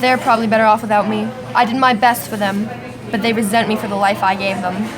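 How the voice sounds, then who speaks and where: A woman's voice: mid-range voice, little pitch movement, fast, over music, a crowd in the background, close to an everyday voice. A woman playing a self-justifying jailed mother in an amateur radio play. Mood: regretful.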